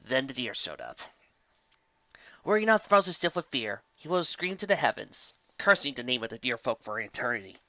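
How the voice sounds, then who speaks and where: A man's voice: medium pitch, sharp tone, no room sound, muffled recording. A man narrating a fantasy story in a dramatic, scripted audiobook style.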